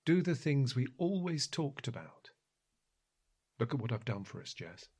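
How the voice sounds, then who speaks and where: An actor playing an earnest, guilt-ridden husband in an audio drama, speaking with hushed, halting emotion in a husky voice. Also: male voice, mid-range voice, sing-song. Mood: anguished, pleading. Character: earnest, guilt-ridden husband pleading with his injured wife.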